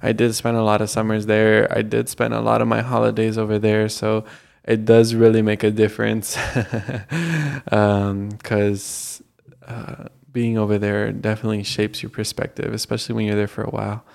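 A man's voice: on the low side, flat delivery, moderate pace, vocal fry, a little mellow. A young man hosting a casual solo podcast, rambling with lots of fillers. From United States, Colombian family. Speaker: podcast host.

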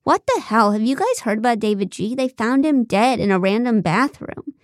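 A woman's voice: a little high, big pitch swings, normal speed, no echo. Audiobook part: young female diva, done by a woman, brash, blunt, theatrical, dry studio sound. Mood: shocked.

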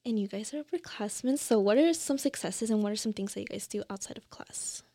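A young woman, a first-year college student, asking the group questions in a casual chat and quickly agreeing. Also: mid-range voice, lively intonation, dark tone, no room sound. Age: young adult.

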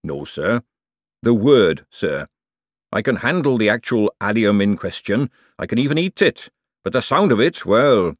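A man's voice: on the low side, very animated, measured pace, no echo, clean studio sound. A man voicing a nervous, servile male chef in an audiobook, hesitant and deferential. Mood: resigned. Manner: hesitant, servile, repeatedly saying "sir". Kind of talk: audiobook character dialogue.

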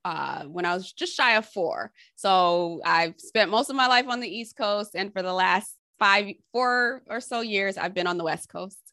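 Bright-voiced woman, thoughtful and rambling. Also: medium pitch, lively intonation, unhurried, hardly any pauses, no echo, studio quality. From Haiti, raised in Connecticut. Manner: thoughtful, rambling, many fillers and restarts. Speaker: interviewee. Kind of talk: casual oral history interview.

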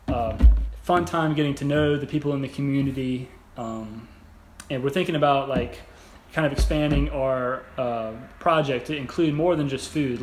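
A young adult man co-hosting a podcast in casual, halting, rambling, informal talk. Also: medium pitch. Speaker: podcast co-host. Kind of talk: casual conversational podcast chat. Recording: echoey room, background noise.

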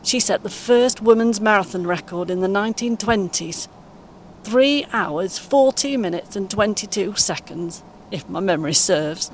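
A woman playing a kindly, encouraging British grandmother in her eighties in an audio play, speaking gently and reassuringly. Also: lively intonation, normal speed, lightly acted. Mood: reminiscing. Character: kindly, encouraging 81-year-old grandmother on a coach. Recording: clear vehicle rumble noise. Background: vehicle ambience.

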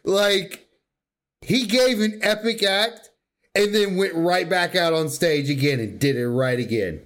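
A man's voice: sits high, a little slow, a bit bright. A man co-hosting a podcast, talking about games in casual, slangy, emphatic fan talk. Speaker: podcast co-host. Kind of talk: casual gaming chat.

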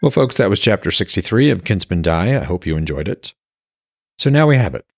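A man's voice: low voice, sing-song, a little fast, vocal fry, noisy background. A man reading scripted narration and commentary as an author hosting his own audiobook podcast.

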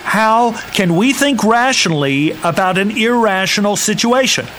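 A man's voice: sits high, lively intonation, moderate pace, fairly bright tone, slight background noise. An actor in a radio drama playing a bewildered, worried father of a missing little girl. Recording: radio drama with music behind.

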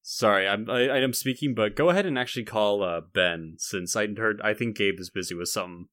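A man's voice: fairly low pitch, brisk, fairly bright tone, no echo, clean studio sound. A man co-hosting a podcast, talking in a casual, comedic, conversational style. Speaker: podcast co-host.

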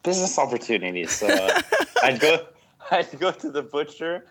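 A man in his thirties from Switzerland, a guest telling relaxed stories in an interview, with fillers and laughter along the way. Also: on the high side, moderate pace, husky. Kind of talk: casual interview.

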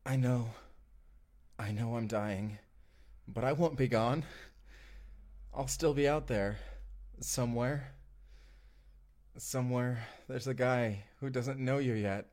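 A man's voice: medium pitch, takes it slowly, long pauses, darkish tone, close to an everyday voice. A man acting as a devoted, sweetly awkward young romantic in an immersive audio roleplay, speaking in an earnest, hesitant, conversational way. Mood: dying, tender.

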